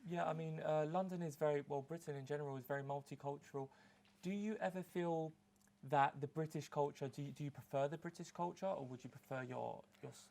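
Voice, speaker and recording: A young man hosting a studio show, upbeat and a little halting as he asks questions. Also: fairly high pitch, vocal fry, darkish tone. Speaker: host. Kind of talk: studio presenting.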